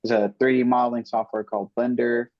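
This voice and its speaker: A man's voice: mid-range voice, level tone, quick pace, no echo, highs cut off. A young man, a student guest on a podcast, speaking modestly and hesitantly with lots of fillers. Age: young adult. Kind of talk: casual podcast interview.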